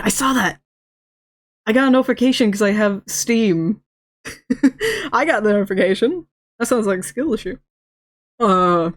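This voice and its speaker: A woman streamer with a slight lisp talks casually and at length, her voice sitting at an ordinary middle pitch. She stumbles and repeats words as she goes, restarting them mid-sentence, and she often breaks into laughter. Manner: chatty, rambling, laughs often. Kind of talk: casual live-stream chat.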